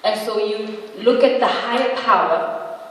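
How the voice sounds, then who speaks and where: A young woman in her twenties gives a motivational talk to a live audience, chatty and joking, often tossing in quick questions to the crowd. Her voice rings through a large hall with long reverb, picked up from some distance, with a faint hiss behind. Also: a little high. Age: 20s. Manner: humorous, laughs at own jokes. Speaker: motivational speaker. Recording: echoey hall, voice from far off.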